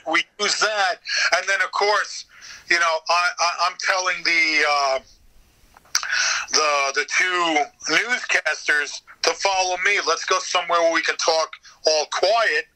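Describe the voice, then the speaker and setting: Male American interview guest, very bright forceful tone, slightly high pitch, rambling and salty. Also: steady tone, measured pace, low-quality recording. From United States. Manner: rambling, profane. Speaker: podcast guest, radio theater voice actor. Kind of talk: casual interview chat, anecdotes.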